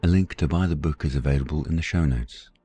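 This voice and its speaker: A man with a husky voice delivering a scripted late-night podcast introduction as host. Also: rumbling low, fast, mellow, faint music behind.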